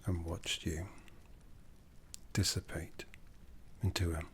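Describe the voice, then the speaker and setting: A man playing an ancient, jaded, aristocratic vampire in a breathy, half-whispered ASMR roleplay, haughty and seductive with long deliberate pauses. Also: sits low, little pitch movement, slight background noise. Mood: quiet menace. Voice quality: breathy, often dropping into whisper.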